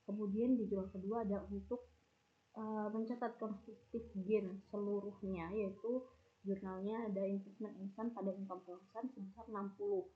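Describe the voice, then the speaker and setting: A narrow, phone-quality recording carries a young woman. Her pitch sits in the middle for a woman. She explains her material like a student giving a class presentation. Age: young adult. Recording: muffled, phone-like narrow band.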